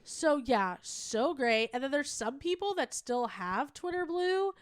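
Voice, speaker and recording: Woman, 30. Loose, rambling comedy co-host who laughs and swears. Higher voice, dry close recording. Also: lively intonation, unhurried, dry recording. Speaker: podcast co-host. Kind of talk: casual comedy chat.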